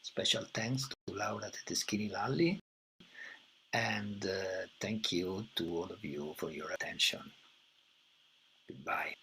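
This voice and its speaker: A man's voice: sits low, unhurried, pauses often, bright, forceful tone, a little hiss or hum, low-quality recording. A man who is not a native English speaker, giving an academic presentation, hesitant and searching for words.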